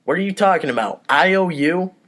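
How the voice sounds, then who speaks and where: A man's voice: fairly high pitch, expressive, brisk, a bit bright, voice pushed up. A man playing a petty, sore mobster in a comedy script, whiny and indignant. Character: petty, sore 1930s mobster squabbling over money.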